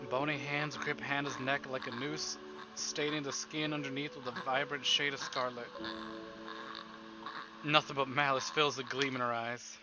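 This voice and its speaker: A man streaming a visual novel, reading it aloud theatrically and deliberately, with livelier asides. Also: mid-range voice, little pitch movement, rough recording. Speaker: game streamer. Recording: music behind the voice. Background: game background music.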